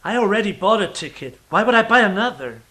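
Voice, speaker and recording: A man's voice: sits high, animated, fast, pushed and bright. A man playing a questioning, plainspoken half-human space traveller in an audio drama. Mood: exasperated, defiant.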